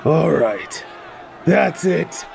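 A man's voice: on the high side, lively intonation, extremely slow, full character voice. A man playing a brutish bandit leader in an audio roleplay, sneering, taunting and theatrically menacing. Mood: furious. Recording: battle crowd and clear noise behind.

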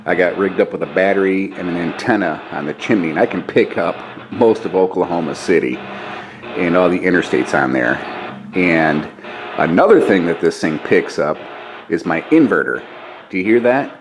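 Deep-voiced American man, casual demo. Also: moderate pace, low-quality recording. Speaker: DIY video host. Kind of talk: casual hands-on demonstration. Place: small cluttered garage workshop. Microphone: camera microphone at arm's length. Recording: handheld camera, clear background noise.

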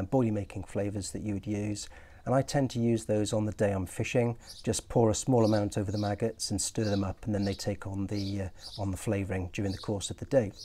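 Man in his 60s, low, warm tone; friendly, practical how-to delivery; outdoor lapel-mic sound. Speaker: angling presenter. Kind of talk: casual how-to explanation. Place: outdoor garden patio.

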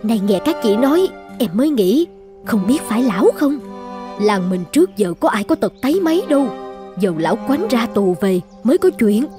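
A woman's voice: a little high, big pitch swings, normal speed, over music. A woman voicing a wary, chatty village gossip in a cartoon folk tale. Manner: gossipy, conversational. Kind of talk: animated folk tale dialogue.

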